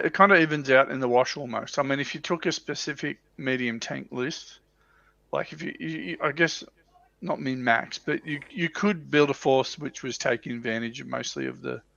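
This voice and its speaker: A man giving his opinions in casual, halting chat as a panelist on a hobby livestream, often rephrasing as he goes. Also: mid-range voice, gravelly, a bit bright, lo-fi sound. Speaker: livestream panelist on a tabletop wargaming show.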